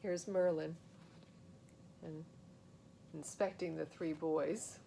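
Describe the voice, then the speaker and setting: A woman reading a children's story aloud as a narrator, in an animated storytelling style. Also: takes it slowly, vocal fry. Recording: steady noise with low rumble.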